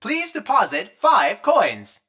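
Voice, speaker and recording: Polite automated announcer for a game: bright, raised pitch, very melodic delivery. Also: female voice, wildly swooping pitch, slow pace, heavily acted.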